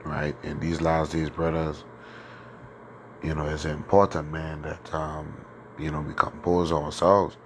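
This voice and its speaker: Very deep, flat-voiced man with a sore throat. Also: unhurried, a little hiss or hum, poor audio. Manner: conversational, many fillers and tag questions. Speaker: teacher of a religious group. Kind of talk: informal scripture lesson.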